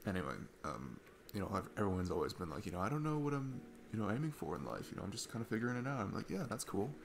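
Man playing a deep, creaky-voiced easygoing young dad. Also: very animated, very fast, noisy background. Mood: candid, amused. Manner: rambling, informal, chuckling often. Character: easygoing, earnest divorced young dad on a date, in love with storytelling. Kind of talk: ASMR roleplay monologue, casual date chat. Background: music.

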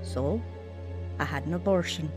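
A woman's voice: low voice, normal speed, close to an everyday voice. Actress as troubled young mother, music behind. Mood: sorrowful, reflective. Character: troubled young mother telling her painful life story. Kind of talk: dramatised first-person narration, radio drama.

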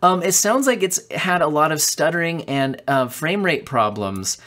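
A young man runs through PC gaming news from a small room at home, with a condenser mic close by. He talks casually with plenty of ums and uhs and rises into quick bursts of disbelief at the numbers. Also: on the high side, lively intonation, sharp tone. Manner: chatty, filler-heavy, quick bursts of disbelief. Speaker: tech news host. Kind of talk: casual news commentary reading from articles. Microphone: studio condenser mic off camera.

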